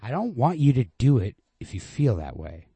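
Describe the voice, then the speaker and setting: A man's voice: mid-range voice, very animated, moderate pace, mellow, husky, no echo, pitched above the performer's own voice, full character voice. A man playing an insistent, anxious young man who emphatically cajoles and placates his girlfriend in a story reading. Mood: insistent, placating.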